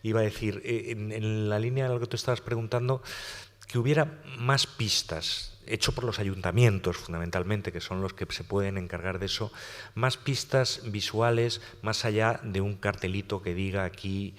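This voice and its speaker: Low-voiced man musing in a hall. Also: fairly even intonation, normal speed. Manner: reflective, rambling with restarts. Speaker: panelist writer. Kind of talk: round-table discussion. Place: lecture hall at a public panel. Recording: reverberant hall.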